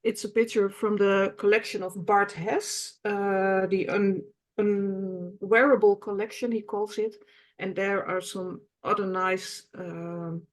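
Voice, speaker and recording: A woman in her fifties with a Dutch accent presents to an online webinar in English, which is not her first language. She talks at a middle pitch, pausing often with fillers and restarting her words as she goes. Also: steady tone, rough recording. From Netherlands. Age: 50s. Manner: hesitant, many fillers and restarts. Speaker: patient representative.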